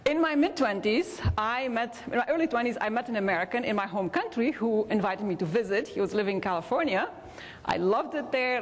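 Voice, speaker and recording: A woman from Belgium with a Belgian accent, telling personal stories as a convention speaker, with small hesitations. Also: fairly high pitch. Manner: warm anecdotal storytelling with hesitations. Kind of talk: motivational keynote storytelling. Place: large convention hall. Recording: reverberant convention hall.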